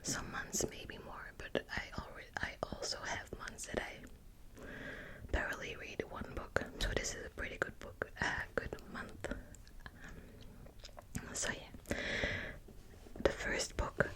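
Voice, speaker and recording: A young woman from Belgium with a Dutch accent, whispering softly and hesitantly as she hosts her ASMR book channel, with many small fillers. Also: slow, long pauses.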